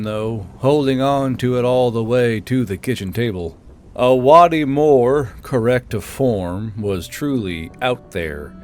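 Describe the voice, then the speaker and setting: A man's voice: mid-range voice, darkish tone. A man reading scripted fiction as an audiobook narrator.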